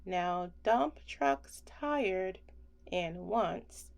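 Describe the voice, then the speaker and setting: A woman reading a children's picture book aloud as a bedtime story, expressive and gentle, with deliberate phrasing. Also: fairly low pitch, gravelly.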